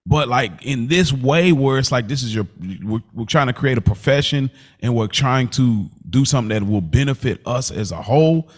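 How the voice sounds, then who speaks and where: Male podcaster, mid-range voice, casual slang, emphatic and repetitive delivery. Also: medium pitch. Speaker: podcast co-host. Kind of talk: casual conversational podcast chat.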